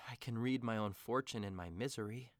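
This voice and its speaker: A man's voice: medium pitch, normal speed, no echo, studio quality, close to an everyday voice. A man playing a lovesick, melancholy young nobleman with dramatic, emotive delivery in an audio drama. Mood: miserable, dramatic. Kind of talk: audio drama acting of modernized Shakespeare.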